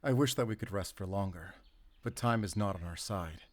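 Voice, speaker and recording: A man playing a weary, determined werewolf hunter in a cinematic audio roleplay, speaking pensively in short, halting phrases. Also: low voice. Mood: grim, thoughtful. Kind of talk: cinematic audio roleplay acting, addressing a silent companion. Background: cinematic ambient sound effects.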